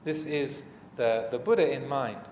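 A man giving an unscripted Buddhist dharma talk as a teacher, speaking in a measured, reflective way with frequent pauses between short phrases. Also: mid-range voice, a little mellow. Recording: echoey reverberant hall with background noise.

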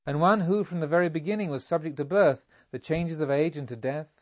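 A man reading as a serene, revered spiritual master, solemnly and deliberately teaching a disciple in an audiobook. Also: fairly high pitch, dry recording, dull audio, close to an everyday voice. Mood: serene, assured. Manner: solemn, emphatic, rhetorical, deliberate.

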